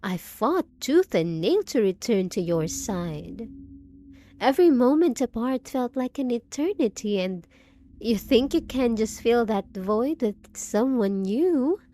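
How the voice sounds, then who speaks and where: A woman's voice: on the high side, expressive, measured pace, mellow, slight background noise. A woman voicing a possessive, unhinged undead bride in a roleplay audio drama, speaking with sweet pet names, mock pity and clingy menace. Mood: accusing, possessive.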